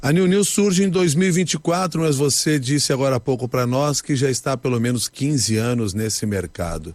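A man hosting an interview, asking questions in a measured, formal way. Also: medium pitch, a little mellow, no room sound. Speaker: interview host.

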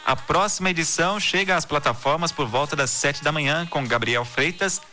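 A man from Brazil reads the news as a podcast host, with a very bright, forward tone and a steady, measured cadence. Soft music sits low underneath him. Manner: steady, measured newsreader delivery. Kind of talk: scripted news reading.

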